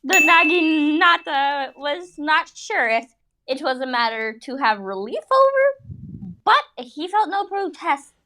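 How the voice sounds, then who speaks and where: A woman's voice: sits high, sing-song, slow pace, pushed and bright. A woman giving a mocking, playful dramatic reading with giggly, exaggerated delivery and casual asides. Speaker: reader in a group dramatic reading.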